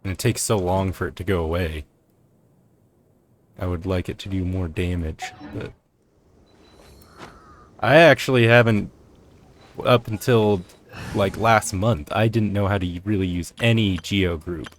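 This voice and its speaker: A man giving casual gameplay commentary, low-key and hesitant, thinking out loud as he plays. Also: measured pace, long pauses, a little hiss or hum. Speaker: gaming streamer. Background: faint game music.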